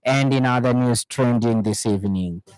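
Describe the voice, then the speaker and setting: A man's voice: medium pitch, moderate pace, darkish tone, no room sound, faint music behind, low-quality recording. A man with a Zambian accent reading scripted radio news as a presenter in a studio.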